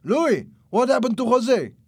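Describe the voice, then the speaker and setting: Man doing a high, shouting gang henchman. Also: big pitch swings, a little slow, husky, no room sound, exaggerated voice. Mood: suspicious, angry. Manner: shouting, accusatory. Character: alarmed, suspicious male gang henchman. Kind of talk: audiobook character acting.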